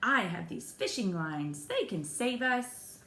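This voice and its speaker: A woman's voice: medium pitch, sing-song, slow pace, sharp tone, full character voice. A woman voicing an eager, take-charge young boy playing pretend in a children's storybook, recorded in an echoey room. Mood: eager, confident. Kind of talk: children's storybook character reading.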